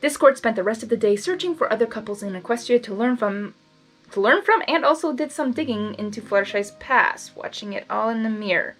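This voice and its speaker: A woman reading fan fiction aloud as host, narrating and breaking into casual, expressive reactions. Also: fairly high pitch, fairly quick, soft background music. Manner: expressive, animated asides.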